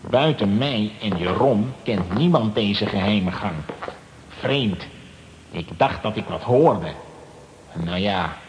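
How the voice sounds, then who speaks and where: An actor playing a furtive, bossy vagrant who speaks with secretive urgency in a children's comic-strip radio play. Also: male voice, fairly low pitch, expressive, slow, muffled recording, a little hiss or hum.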